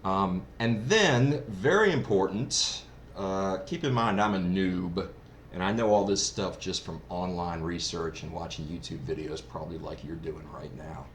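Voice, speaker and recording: A man's voice: low-pitched, unhurried. A man giving a casual, unscripted gear review in a rambling, explanatory way, like a hobbyist who is new to it. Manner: rambling, explanatory, self-described novice. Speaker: product reviewer. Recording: roomy echo, clear background noise.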